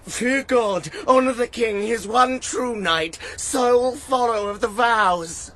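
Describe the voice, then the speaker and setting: An actor playing a proud, embittered knight in an audio drama, declaiming archaic verse with deliberate scorn. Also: male voice, extremely high pitch, fairly bright tone, heavily acted. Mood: bitter scorn.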